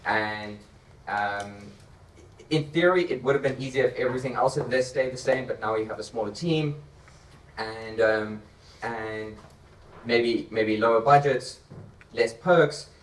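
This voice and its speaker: A man with a South African accent speaking on a conference panel, relaxed and thinking aloud as he repeats his words. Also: medium pitch, unhurried, long pauses, slight background noise. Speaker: panelist, startup co-founder. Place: conference stage. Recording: echoey hall, mic heard from far off.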